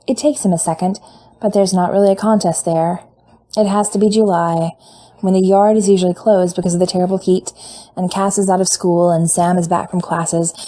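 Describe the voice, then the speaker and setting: A woman reading fan fiction aloud in a measured, intimate storytelling manner. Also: on the low side, dark tone. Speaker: podfic reader. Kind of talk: fan fiction audiobook narration.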